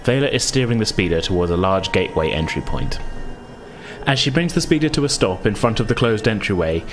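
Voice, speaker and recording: A man's voice: fairly low pitch, very animated, fairly quick, music in the background. A man narrating a tabletop roleplaying game as game master, descriptive and conversational.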